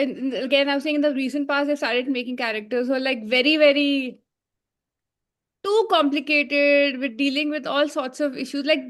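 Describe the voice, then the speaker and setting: A woman with a higher-pitched voice talks casually as a review show co-host. She lays out her opinions emphatically, in a conversational, unscripted way. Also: fairly bright tone. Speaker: podcast co-host reviewing a film.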